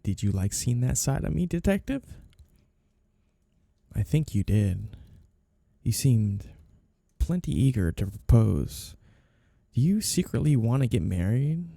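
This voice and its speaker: A man's voice: mid-range voice, very animated, a little slow, creaky, long pauses, dark tone, no room sound, exaggerated voice. A man playing a flirty, two-faced mafia boss in an ASMR period roleplay, with coy, teasing lines and sugary endearments. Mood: smug, teasing.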